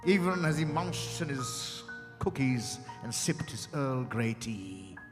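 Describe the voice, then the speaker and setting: Genteel male storyteller acted by a man, unhurried with dramatic pauses, stage reading in a reverberant hall over music. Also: medium pitch, sing-song. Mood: measured, theatrical. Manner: measured, savoring details, dramatic pauses. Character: genteel man recounting a tense visit. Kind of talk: dramatized first-person storytelling.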